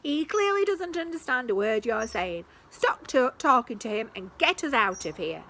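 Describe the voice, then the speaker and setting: A grumpy, bearded tiny man from a storybook who complains loudly, voiced by a woman reading aloud. His voice is high and shrill, bright and sharp. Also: very animated, normal speed, slight background noise, full character voice.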